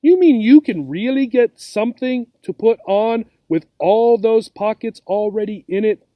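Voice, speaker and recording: A man's voice: high voice, measured pace, no room sound, lo-fi sound. A man voicing a polite, eager mother kangaroo from a children's storybook, pleading and full of wonder. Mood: amazed.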